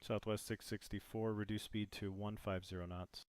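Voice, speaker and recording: A man playing an air traffic controller in a simulator, giving instructions in measured, formal radio phraseology. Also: fairly low pitch, little pitch movement, darkish tone.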